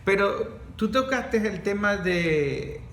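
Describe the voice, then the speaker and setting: A man hosts a podcast talk, his voice sitting a little high for a man. He jumps in with questions and finishes other people's thoughts. The room is echoey, with faint noise behind him. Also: expressive, slow, vocal fry, a bit bright. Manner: interjects, finishes others' sentences. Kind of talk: podcast conversation.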